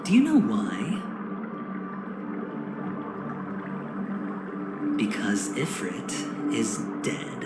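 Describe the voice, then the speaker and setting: A suave and smug male schemer from a video game, talks slowly and theatrically, savouring every pause. His voice carries a roomy echo, with music playing clearly behind him. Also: on the low side, wildly swooping pitch, exaggerated voice. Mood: ominous, dramatic. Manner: theatrical, dramatic pauses, taunting chuckles. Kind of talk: video game cutscene dialogue.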